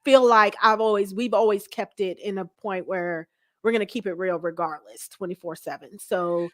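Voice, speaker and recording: A woman's voice: mid-range voice, steady tone, moderate pace, a bit bright, no room sound, clean studio sound. A woman interview guest chatting casually and explaining her views in a candid way. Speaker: guest, church first lady.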